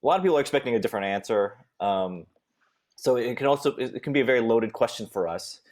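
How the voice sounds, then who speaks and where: A man's voice: mid-range voice, expressive, fast talker, darkish tone. A man from the Bay Area, moderating a casual live-streamed panel, easygoing with plenty of fillers and restarts. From California Bay Area. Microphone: home video call.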